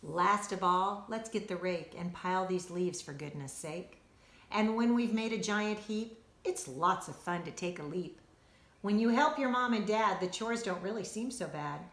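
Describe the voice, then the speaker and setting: A woman voicing a jolly, bossy, energetic male handyman in a storybook, chirpy and coaxing. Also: medium pitch, normal speed, close to an everyday voice. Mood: encouraging. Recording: roomy, echoing indoor sound.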